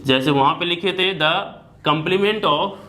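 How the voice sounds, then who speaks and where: Slow, higher-voiced Indian man teaching maths. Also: sharp tone, a little hiss or hum. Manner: mixes Hindi explanation with slow dictated English notation, restarts often. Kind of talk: whiteboard maths lecture, dictating slowly in English.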